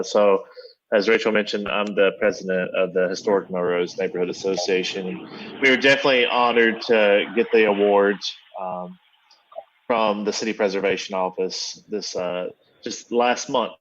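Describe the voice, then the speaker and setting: Low-voiced man, measured, muffled call audio. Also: fairly bright tone. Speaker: neighborhood association president. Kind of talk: semi-formal remarks at a public meeting. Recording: dull, lo-fi online meeting audio.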